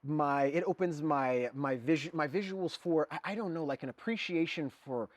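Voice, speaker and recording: A man's voice: fairly high pitch, moderate pace, dry recording. Rambling, filler-heavy man in his forties. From Germany. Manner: rambling, many "like" fillers, says "dude". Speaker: guest artist. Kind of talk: casual conversation.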